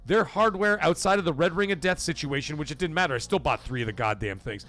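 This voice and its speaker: Excitable male livestream host. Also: on the high side, fast. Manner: excitable, swings loud for donation shout-outs; profane, emphatic opinions. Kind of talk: casual livestream chat reading super chats.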